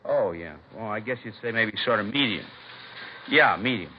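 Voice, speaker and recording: A man's voice: lowish voice, expressive, normal speed, close to an everyday voice. An American man playing a chatty, helpful laundry shopkeeper in a 1950s radio drama, hesitant and rambling as he talks. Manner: hesitant, rambling, mumbling to himself. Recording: noisy, muffled vintage radio recording.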